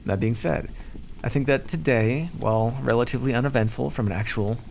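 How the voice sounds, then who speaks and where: A man playing a calm, condescending vampire captor in an ASMR roleplay, teasing and coaxing as he talks. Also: mid-range voice, a little fast, gravelly, a little hiss or hum, close to an everyday voice. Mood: dry, grudging. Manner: teasing, patronizing, coaxing, hesitant stammers. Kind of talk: ASMR roleplay, scripted monologue.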